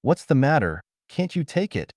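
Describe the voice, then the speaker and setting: A composed and unabashed woman from pulp science fiction, played by a male audiobook narrator. She teases in a matter-of-fact way, with very lively pitch and a dry sound. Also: normal speed, no echo. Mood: teasing.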